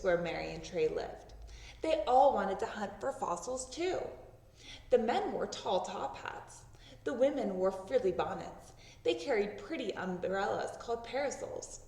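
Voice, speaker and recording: A woman reading a children's story aloud, with expressive narration that acts out the words. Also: mid-range voice. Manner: expressive, acting out sound words. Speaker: storybook reader. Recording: roomy echo, distant microphone.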